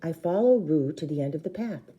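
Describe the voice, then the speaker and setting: A woman reading a children's novel aloud like a teacher, with expressive emphasis and brief explanations. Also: sits low, a little fast, lo-fi sound.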